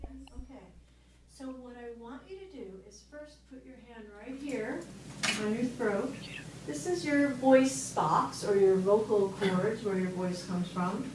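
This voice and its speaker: A woman's voice: on the high side, measured pace. A woman teacher leading a live class step by step and prompting with questions, recorded in a classroom. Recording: roomy, distant, noisy classroom recording.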